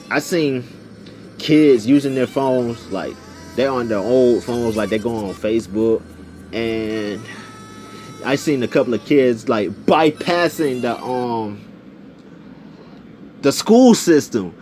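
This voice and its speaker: Young adult man, lively swinging pitch, casual slangy storyteller, conversational asides, music underneath. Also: slow pace, pauses often. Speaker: YouTube storyteller.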